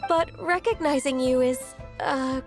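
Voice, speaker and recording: Game casting: young female immortal-blooded secretary, high-pitched, gentle, earnest and respectful. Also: a little slow, faint music behind. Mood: earnest, deferential. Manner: polite, earnest, deferential. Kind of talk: video game voice acting.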